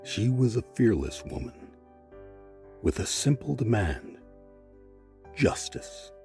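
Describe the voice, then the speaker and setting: A man's voice: medium pitch, sing-song, slow, vocal fry, mellow, soft background music. A man giving a scripted, dramatic narration.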